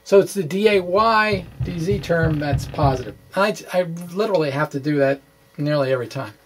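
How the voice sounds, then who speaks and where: A man explaining physics in an informal walkthrough, thinking aloud in a halting way and correcting himself, with wry asides. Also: a little high, background noise. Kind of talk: informal math walkthrough, reading and ad-libbing.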